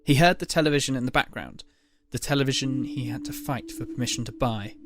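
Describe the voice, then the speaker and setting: A man's voice: medium pitch, a little fast. A man narrating a scripted dramatic story as the narrator of an audio drama.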